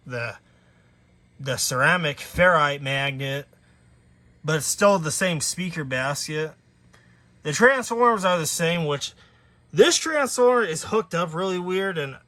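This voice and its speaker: A man's voice: on the high side, fairly bright tone. A man giving a casual, unscripted overview as a hobbyist video host, rambling and correcting himself as he goes.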